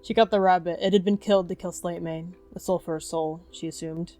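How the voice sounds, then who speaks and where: A woman's voice: on the low side, fairly even intonation, quick pace, mellow. A woman narrating a scripted third-person animal story as part of a roleplay. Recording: music behind.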